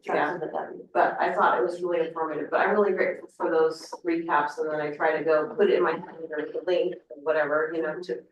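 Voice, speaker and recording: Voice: mid-range voice, fairly even intonation, quick pace, hardly any pauses. A board member rambling and stumbling through thanks at a school board meeting. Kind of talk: meeting remarks. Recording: muffled, very echoey, distant mic, faint noise.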